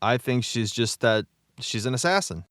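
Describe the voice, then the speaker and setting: A man's voice: mid-range voice, expressive, moderate pace, a bit bright, no room sound, studio quality. A man in casual panel chat as a cast member, insistently putting forward his theories. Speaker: podcast panel member, cast of an audio drama.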